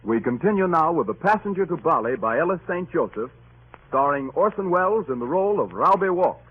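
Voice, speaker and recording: A man's voice: on the high side, sing-song, brisk. A man making formal announcements in the style of a 1930s radio announcer. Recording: noisy, tinny band-limited vintage radio.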